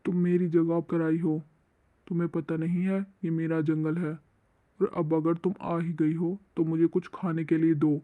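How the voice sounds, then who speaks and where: Woman putting on a menacing low-voiced forest witch, muffled. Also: flat delivery, moderate pace, lightly acted. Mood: demanding. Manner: commanding, threatening, demanding. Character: menacing hungry female witch haunting her forest. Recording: muffled, phone-like lo-fi sound.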